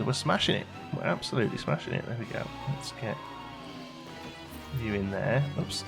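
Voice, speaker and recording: A man with a fairly low voice and a noticeable creak hosts a gaming video. He talks casually and chattily, thinking out loud as he plays, with a little music behind him. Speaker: gaming channel host. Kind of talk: casual let's play commentary.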